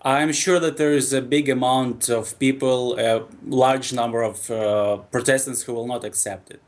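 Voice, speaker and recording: A man's voice: mid-range voice, fairly even intonation, moderate pace. Earnest man. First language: Russian. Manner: earnest, hesitant with many fillers. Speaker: caller from Ukraine. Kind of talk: radio phone-in debate.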